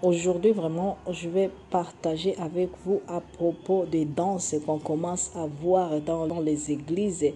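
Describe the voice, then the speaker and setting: A woman's voice: lowish voice, level tone, a little slow, few pauses, mellow, slight background noise. A woman from Congo giving a scolding video sermon, repeating herself often and hesitating with filler sounds. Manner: admonishing, repetitive, many hesitations and filler sounds. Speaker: online preacher.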